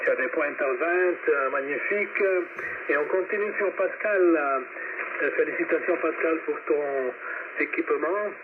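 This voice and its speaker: Male ham radio host, welcoming and conversational, on a narrow, clearly noisy transmission. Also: a little high, unhurried. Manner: courteous, welcoming. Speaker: amateur radio net host. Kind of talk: casual ham radio contact, calling on stations.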